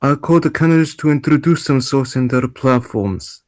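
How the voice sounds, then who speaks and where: A man's voice: medium pitch, moderate pace, darkish tone, close to an everyday voice. A man playing a polite election debate moderator, reading formal introductions from a script. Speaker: actor in an amateur table read.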